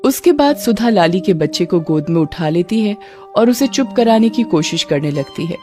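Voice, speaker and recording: A woman's voice: on the low side, animated, moderate pace, dark tone. Woman narrator, scripted storytelling, music underneath.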